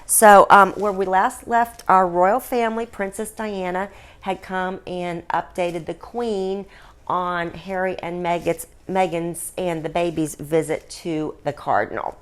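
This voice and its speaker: A woman's voice: lowish voice, steady tone, measured pace. A middle-aged or older woman vlogging in a casual, chatty, folksy way, like a storyteller talking to her viewers.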